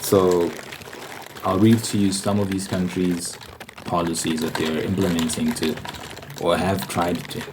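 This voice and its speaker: A man with a low voice talks in a loose, rambling vlog style. He often repeats phrases to drive them home. There is clear noise behind him. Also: flat delivery, a little slow. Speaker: ASMR vlogger. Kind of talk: casual rambling monologue.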